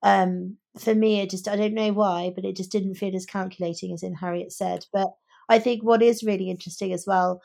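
Woman discussing books, with a creaky edge. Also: medium pitch, fairly even intonation, a little fast, a little mellow. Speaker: podcast co-host. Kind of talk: conversational book discussion.

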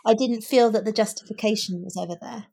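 Woman in an emphatic book chat, medium pitch. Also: fast, vocal fry, warm tone. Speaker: book podcast host. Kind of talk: casual book discussion.

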